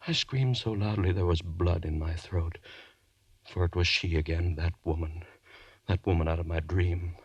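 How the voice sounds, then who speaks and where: A desperate, terrified man condemned to hang, telling of his nightmares. His voice is low and close, with a dry sound and no room around it. The delivery is theatrical and halting, feverish old-time radio horror from the 1940s. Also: fairly even intonation, a little mellow, breathy edge, no echo, dull audio. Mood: agitated, fearful. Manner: theatrical, halting, feverish first-person narration. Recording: 1940s radio broadcast recording.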